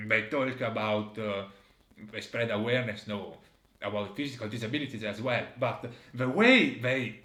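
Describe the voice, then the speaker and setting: A man speaking as a comments on a game in an exasperated, sarcastic tone. His delivery is halting and hesitant, at an average pitch. The room echo is clear around his voice. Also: fairly bright tone. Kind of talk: casual critical game commentary, reading out dialogue. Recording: roomy, echoey voice recording.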